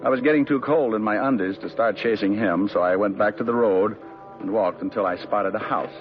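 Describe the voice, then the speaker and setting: A dry-humored private eye, played by a man in a 1940s radio drama. His voice sits low and his lines come out wry. The broadcast sounds dull and muffled, with music behind it. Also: a little fast, natural voice. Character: wisecracking male private eye narrator. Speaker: lead detective narrator.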